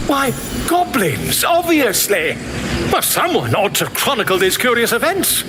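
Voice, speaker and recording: A theatrical male adventurer and storyteller in a fantasy game. His voice is high and swoops widely, with pompous, courtly flourishes. Clear ambient noise sits behind him. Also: huge pitch swings, heavily acted. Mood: wry, eager. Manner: theatrical, pompous, drawn-out phrasing. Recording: loud background ambience noise.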